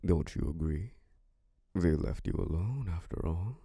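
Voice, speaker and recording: A man voicing an ancient, charming and possessive male vampire lord in an ASMR roleplay, speaking slowly and flirtatiously with soft laughs. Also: expressive, no room sound, studio quality, heavily acted. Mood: seductive. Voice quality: deep, gravelly. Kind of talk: ASMR roleplay audio drama.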